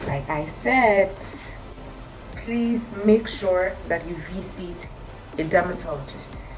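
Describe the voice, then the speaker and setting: A woman with a croaky morning voice, chatting to camera in a skincare vlog and repeating her advice for emphasis. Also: fairly low pitch, slow pace, music in the background, rough recording. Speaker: skincare vlogger. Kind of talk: casual talk to camera.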